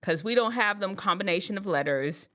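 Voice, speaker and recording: A woman in her thirties from Jamaica talks straight to her viewers in a loose, storytelling way. Her pitch leaps and swoops all over the place when something excites her, and she breaks into laughter at her own jokes. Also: fairly quick. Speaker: vlogger. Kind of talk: casual chat to camera.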